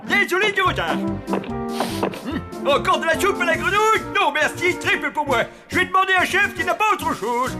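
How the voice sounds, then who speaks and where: Un homme doublant un père zombie, patron d'hôtel, au ton théâtral et emporté. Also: male voice, extremely high pitch, expressive, normal speed, loud music behind the voice. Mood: alarmed. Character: zombie father, hotel owner, blustering. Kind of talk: French cartoon dubbing.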